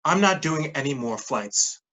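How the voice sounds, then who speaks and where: Low, husky, defensive woman played by an actress. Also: expressive, a little slow, fairly bright tone, heavily acted. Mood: aggrieved. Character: defensive, fed-up woman underling. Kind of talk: staged play reading.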